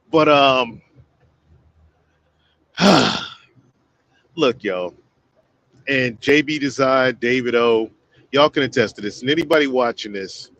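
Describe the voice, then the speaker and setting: A man hosting a casual livestream, talking at an ordinary middle pitch. He rambles from point to point and turns to his viewers often, calling them "y'all" and dropping shout-outs. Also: highs cut off. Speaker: livestream host.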